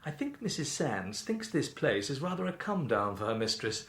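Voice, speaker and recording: A man's voice: mid-range voice, big pitch swings, normal speed, a bit bright, roomy sound. A man playing a snobbish, gallant stage actor in a period radio drama. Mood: confiding, disdainful.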